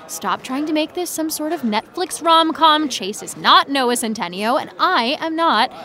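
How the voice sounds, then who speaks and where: A woman playing a guarded, self-deprecating high school senior in naturalistic podcast drama, with wry, defensive chatter. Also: expressive, measured pace, bright, forceful tone, full character voice. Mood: exasperated. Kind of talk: naturalistic fiction podcast acting.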